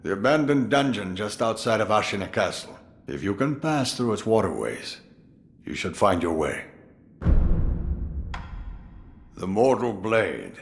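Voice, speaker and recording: A deep-voiced, crafty old sword master. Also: male voice, big pitch swings. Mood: grave, advisory. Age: older. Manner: theatrical, amused, drawn-out musing delivery. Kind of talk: dramatic video game voice acting.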